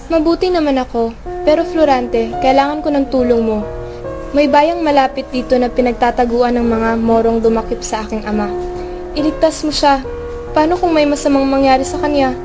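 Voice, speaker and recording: Woman as young noblewoman, Filipino accent, high voice, worried, pleading, radio play, loud music underneath. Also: normal speed, natural voice. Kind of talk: Tagalog radio drama acting.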